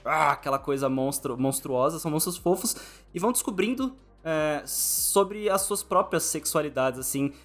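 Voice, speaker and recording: A man's voice: a little high, animated, normal speed, a bit bright, faint music behind. A Brazilian man speaking as a podcast host in a casual, conversational interview style.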